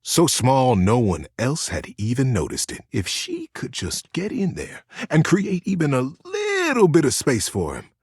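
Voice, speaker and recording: A man reading a children's story aloud in an expressive, suspenseful, dramatic storybook style. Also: medium pitch, unhurried, few pauses, breathy edge, no room sound, studio quality.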